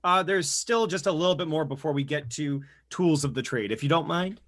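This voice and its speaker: A man's voice: fairly high pitch, a little fast, fairly bright tone. A man voicing a guide character during an online tabletop roleplay session, earnest and playful. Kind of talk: tabletop roleplay over voice chat.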